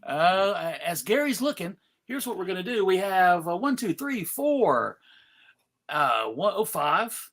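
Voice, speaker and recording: This is a host talking casually at a live event. The pitch moves around a lot as they announce things with mock seriousness. The delivery halts and pauses between phrases. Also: sing-song, a bit bright. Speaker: panel host and narrator.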